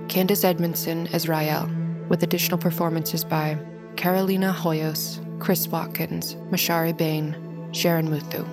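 A woman announcer with a low-pitched voice reads scripted credits in a measured list. Music plays clearly underneath.

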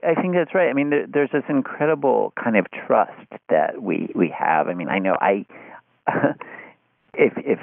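A man talking through ideas in conversation, halting and searching, often repeating words as he goes. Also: low voice, gravelly, slightly rough, no echo. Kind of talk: conversational discussion. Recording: tinny narrow phone-like line.

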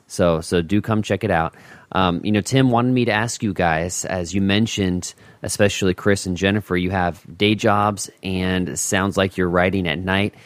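A man with a very low voice talks as a podcast host in a casual interview manner. The sound is dry and close, with little room around it. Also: fairly even intonation, normal speed, dry recording.

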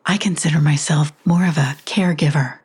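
A woman playing a calm, formal private nurse in an audio drama, speaking softly and in a measured way. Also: low voice, husky.

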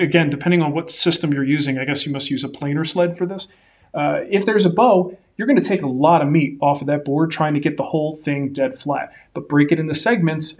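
A man in his forties co-hosting a live woodworking Q&A, giving practical advice in a relaxed, folksy way. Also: a little high. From Denver area. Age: 40s. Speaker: woodworking show co-host. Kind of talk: live-stream Q&A, casual chat.

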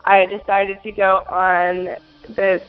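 A woman's voice: mid-range voice, flat delivery, slow, clear, resonant tone, muffled recording. A twenty-year-old woman from Minnesota, answering interview questions in a hesitant way with many fillers and giggles. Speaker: interview guest.

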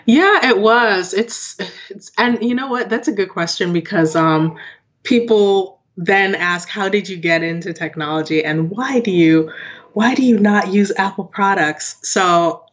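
A woman's voice: medium pitch, a little slow, dull audio. A woman in her thirties, born in Jamaica and raised in western New York, chatting as a guest about her work, laughing often and acting out what others say. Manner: chatty, laughs often, acts out quoted voices. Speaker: guest, digital product owner. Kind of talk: casual interview chat.

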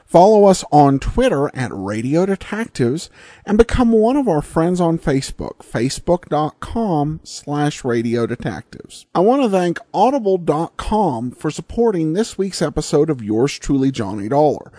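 Male host, scripted podcast intro: varied melody, dark mellow timbre, dry sound. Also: a little high, very animated, normal speed, dry recording.

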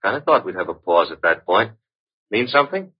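Husky, wisecracking radio detective's sidekick. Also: male voice, fairly low pitch, very animated, fairly quick. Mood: wry. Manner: breezy, wry banter. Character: wisecracking, flirtatious male private detective's assistant. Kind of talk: old-time radio detective acting. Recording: dull, muffled vintage radio recording.

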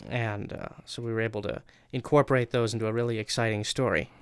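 A man's voice: on the low side, fairly even intonation, fast talker, smooth. A young man, a guest writer, recounting his work in a casual, informal way.

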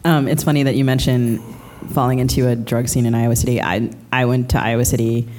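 A woman's voice: very deep voice, little pitch movement, fairly quick, darkish tone. A woman talking conversationally as a podcast co-host in a live interview. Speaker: podcast co-host, writing teacher. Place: live stage at a book fair.

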